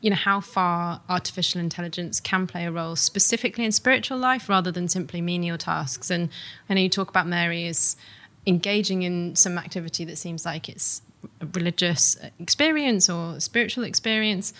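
A woman interviewing in a thoughtful academic style, setting out her questions in a measured, discursive way. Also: fairly low pitch, fairly bright tone.

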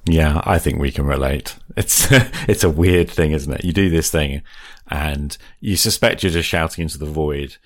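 Really deep voiced guy hosting a podcast chat, thoughtful and agreeable. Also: normal speed, hardly any pauses. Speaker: podcast host, interviewer. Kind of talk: casual conversational interview.

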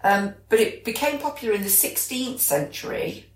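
A woman's voice: a little high, sing-song, moderate pace. A British woman co-hosting a podcast in casual, chatty conversation, explaining things as she goes. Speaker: podcast co-host. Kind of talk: casual chat. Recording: roomy, echoey sound.

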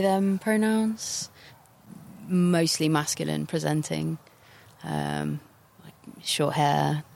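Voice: mid-range voice, gravelly, a little hiss or hum. A young person speaking earnestly and thoughtfully about themselves to an interviewer. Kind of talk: personal account.

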